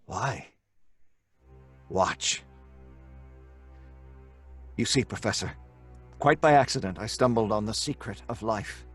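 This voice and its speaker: An actor playing an obsessed young scientist in old-time radio horror style, earnest, dramatic and deliberate. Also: male voice, on the low side, breathy edge, faint music behind. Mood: intent, proud.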